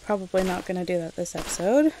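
Creaky-voiced woman narrating her gameplay. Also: on the low side, lively intonation, fast, background noise. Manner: rambling, thinking aloud to herself. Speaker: video game streamer narrating gameplay. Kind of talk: casual let's-play commentary.

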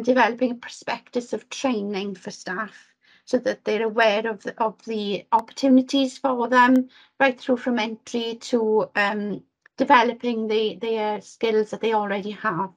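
A woman's voice: a little high, fairly even intonation, moderate pace, poor audio. A woman from Wales giving an earnest work report on a video call, with frequent ums and restarts. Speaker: Welsh language officer reporting to committee.

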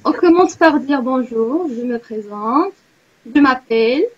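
A woman's voice: high voice, animated, a little slow, crowd noise. A woman teaching an online class, explaining step by step and encouraging the learners over a video call. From Morocco. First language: Arabic. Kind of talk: guiding an online language class. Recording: dull lo-fi call audio.

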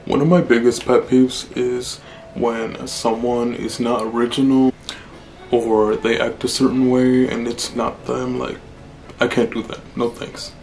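A man in his twenties with a deep voice, chatting casually through a Q&A vlog in a small room, blunt and relaxed. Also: unhurried, creaky, faint music behind. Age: 20s. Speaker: vlogger.

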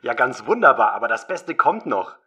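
A man playing a gruff, jovial, crude older film director in an audio drama parody. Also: medium pitch, sing-song, moderate pace, bright, forceful tone, heavily acted. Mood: gleeful. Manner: boisterous, crude, bluntly teasing.

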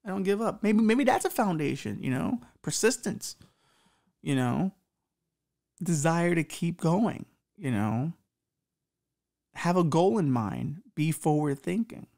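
A man's voice: on the high side, very animated, measured pace, vocal fry, pauses often, a little mellow. A man in his thirties with a slight lisp, hosting a podcast and rambling profanely through relationship advice. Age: 30s. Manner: profane, rambling, self-mocking. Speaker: podcast host.

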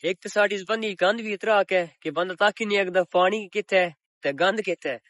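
A man's voice: high-pitched, brisk, fairly bright tone. A young man in his twenties with a Punjabi accent, chatting to his viewers in a casual village vlog, filmed on a phone held at arm's length outdoors on a windy day. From Pakistan. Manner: chatty, addresses viewers as public. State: recovering sore throat. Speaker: village vlogger. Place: open countryside outdoors on a cloudy, windy day.

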